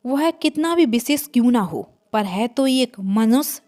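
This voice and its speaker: A woman's voice: a little high, expressive, a little slow, a little mellow. A woman storyteller voicing an arrogant, bloodthirsty vampire queen in a horror story, with a haughty, commanding manner. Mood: arrogant, scornful. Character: arrogant five-hundred-year-old vampire queen, man-hating and bloodthirsty.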